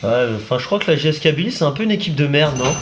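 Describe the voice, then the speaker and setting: A man acts a relaxed young dinner guest, teasing the others in a fairly high voice. Clear background noise sits under him. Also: very fast, close to an everyday voice. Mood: casual. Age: 20s. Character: laid-back young male dinner guest.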